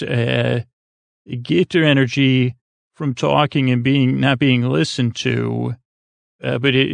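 Very gravelly, rambling bedtime podcast man. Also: medium pitch, dry recording. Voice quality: very creaky, gravelly. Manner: meandering, halting, trails off and circles back, whimsical. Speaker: podcast host.

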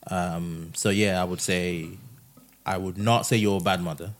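A young man hosting a casual family podcast, teasing and laughing easily, often trailing off quietly. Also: deep voice, fairly even intonation, moderate pace. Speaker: podcast host.

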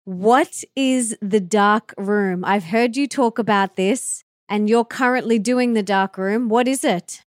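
A woman's voice: mid-range voice, slow, few pauses, dry recording, clean studio sound. A woman speaking as a podcast host, with an effusive, enthusiastic way of introducing and interviewing.

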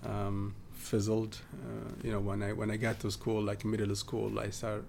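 Guy from ethiopia chatting on a podcast, gravelly, pretty monotone, lots of ums, faint hiss behind. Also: fairly low pitch, normal speed. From southern Ethiopia. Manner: hesitant, many fillers and restarts. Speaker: guest. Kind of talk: casual interview talk.

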